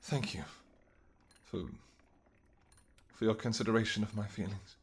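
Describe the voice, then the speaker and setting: A man playing a tender, protective vampire king in a roleplay audio drama, speaking formally and earnestly, with halting phrases. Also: lowish voice, steady tone, husky, heavily acted. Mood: tender, remorseful.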